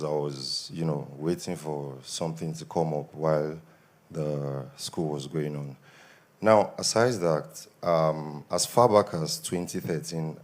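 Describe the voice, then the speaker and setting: A young Nigerian man with a Nigerian accent gives an earnest testimony at a church service, his voice a little worn from shouting. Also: rumbling low. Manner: earnest, grows more emphatic. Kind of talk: spoken testimony.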